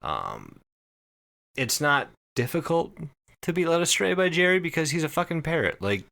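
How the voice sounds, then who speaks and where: A man hosting a podcast, explaining things casually and comedically to friends in an animated, sweary, conversational way. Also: on the high side, normal speed, gravelly. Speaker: podcast host.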